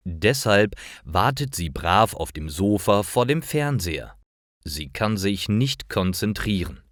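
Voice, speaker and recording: A man reading simple stories for language learners as a scripted narration, in careful, clearly separated short phrases. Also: on the low side, no echo, clean studio sound.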